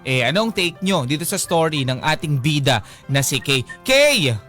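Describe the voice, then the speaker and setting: A man's voice: on the high side, sing-song, normal speed, bright, forceful tone. A man co-hosting a casual radio show, playfully bantering and exclaiming as he reads listener comments. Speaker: radio co-host. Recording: music bed behind. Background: clear background music.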